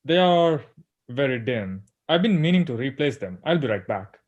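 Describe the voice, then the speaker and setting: Male actor as uneasy secret agent, thirties, expressive lively swings, polite, table-read drama. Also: mid-range voice, moderate pace, lo-fi sound. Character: uneasy thirty-something male agent, torn between duty and friend.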